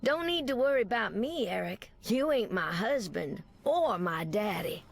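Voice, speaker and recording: An actress playing a sassy, flirty superheroine with a Southern American accent and folksy pet names, in a video game. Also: female voice, medium pitch, lively intonation, a little slow, creaky. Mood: sassy, confident.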